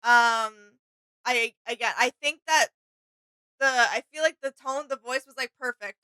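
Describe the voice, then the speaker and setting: A woman's voice: fairly high pitch, a little slow, bright, forceful tone, no echo, low-quality recording. A woman co-hosting a reaction video, agreeable and a little hesitant in casual chat.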